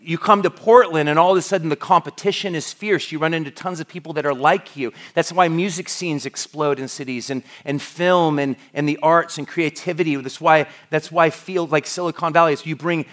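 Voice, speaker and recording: A man's voice: a little high, fairly bright tone. Conversational male pastor preaching. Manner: conversational, with frequent restarts and fillers. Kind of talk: sermon.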